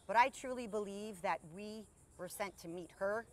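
A woman's voice: mid-range voice, normal speed. A middle-aged woman hosting a podcast, speaking passionately with repeated phrases, recorded outdoors in a garden on a lapel mic. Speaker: podcast host. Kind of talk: podcast interview intro.

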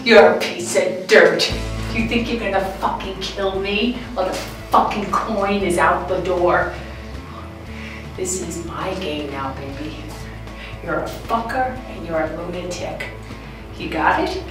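A woman's voice: on the low side, unhurried, music in the background, close to an everyday voice. An actress playing a cornered, foul-mouthed, defiant woman in a film thriller, hostile and spitting out insults. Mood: menacing defiance. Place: small bare cinder-block room indoors. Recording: echoey concrete room, distant mic.

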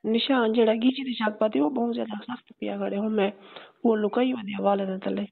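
Creaky-voiced Saraiki woman on the phone. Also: on the high side, fairly even intonation, brisk. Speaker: phone caller. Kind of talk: casual intimate phone chat. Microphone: telephone line. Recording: tinny phone call recording.

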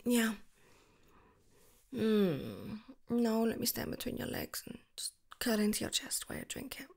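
A woman performing an affectionate ASMR girlfriend roleplay, gushing and squealing by turns, then dropping into soft whispers. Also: fairly high pitch, very animated, a little slow, vocal fry.

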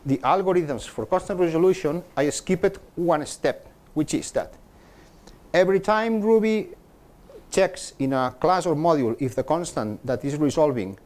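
A man's voice: a little high, animated, normal speed, darkish tone. A middle-aged man gives a technical talk to a meetup audience, often repeating words and restarting as he explains. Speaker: conference speaker. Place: meetup room with projector screen.

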